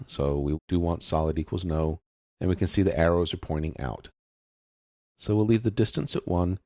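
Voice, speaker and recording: A man narrating an informal, step-by-step software tutorial, explaining things methodically and without a script. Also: very deep voice, no echo, clean studio sound.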